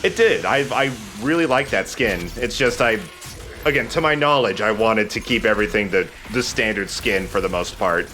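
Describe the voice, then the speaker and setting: Bright, forceful low-voiced man commentating gameplay. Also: moderate pace, a little hiss or hum. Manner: profane, rambling, jokey. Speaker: host of a video game let's play. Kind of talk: casual gameplay commentary with co-commentary banter.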